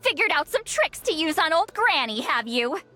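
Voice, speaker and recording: A woman's voice: high-pitched, big pitch swings, normal speed, pushed and bright, faint music behind, full character voice. A woman voicing a sly, teasing self-styled granny in a video game, knowing and accusatory. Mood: teasing accusation.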